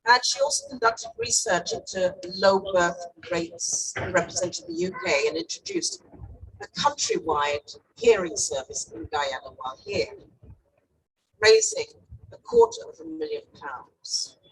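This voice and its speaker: A woman's voice: medium pitch, wildly swooping pitch, measured pace, creaky, a bit bright. A woman hosting a medal ceremony, introducing each recipient with upbeat praise. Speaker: ceremony host. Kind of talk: ceremony announcing. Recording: distant mic, faint noise.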